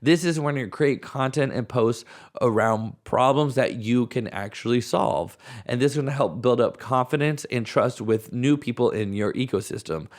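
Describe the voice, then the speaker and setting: A man with a raspy voice, hoarse from a cold, hosting a podcast at home in a casual, rambling way. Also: mid-range voice, moderate pace. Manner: casual, rambling, thinks aloud with restarts. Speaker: podcast host. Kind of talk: solo podcast talk.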